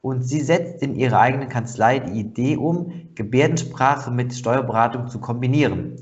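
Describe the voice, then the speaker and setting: A man's voice: medium pitch, normal speed, muffled recording. Chatty male podcast host. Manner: chatty, conversational questions with fillers. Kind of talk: podcast interview.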